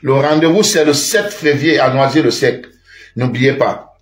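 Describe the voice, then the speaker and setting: A man, a singer taking fan calls live, answering calmly with short thanks. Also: medium pitch, unhurried, roomy sound. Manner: brief thankful replies, calm. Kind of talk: live call-in chat.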